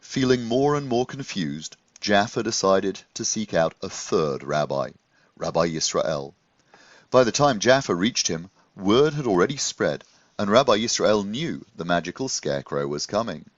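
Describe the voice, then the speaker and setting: A man reads a children's story aloud as an expressive narrator, in a gentle, measured storytelling manner. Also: lowish voice, dry recording, lo-fi sound. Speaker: storybook reader.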